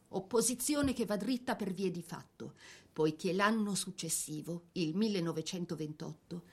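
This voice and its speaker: A woman reading a scripted biographical narration for a dramatic piece, with measured, theatrical phrasing. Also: mid-range voice.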